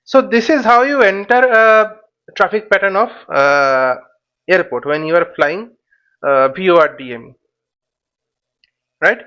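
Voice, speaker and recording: A man's voice: high-pitched, a little slow, pauses often, sharp tone, clear, resonant tone. A man giving an informal step-by-step tutorial as an instructor, often posing questions and answering them himself. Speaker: flight simulation instructor.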